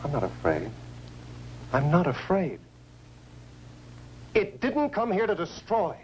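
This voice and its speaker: An actor playing a dazed astronaut shaken by a crash landing, in 1950s science fiction film style. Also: male voice, high voice, huge pitch swings, slow pace, a little mellow, breathy edge, heavily acted. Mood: desperate. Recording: noisy old film soundtrack with hum.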